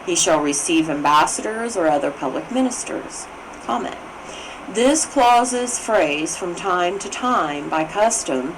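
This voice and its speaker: A woman reading legal text and commentary aloud in a formal, measured and careful way, occasionally stumbling on a word. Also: on the low side, noisy background. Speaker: reader, state assembly coordinator.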